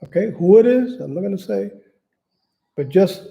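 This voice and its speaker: A man from New York with a high-pitched voice that still sounds very dark and mellow. He talks off the cuff to his viewers, stumbling and repeating words as he searches for his next phrase. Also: animated, moderate pace, gravelly, low-quality recording. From Manhattan, New York, United States. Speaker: channel host appealing for donations. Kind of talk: casual unscripted livestream talk.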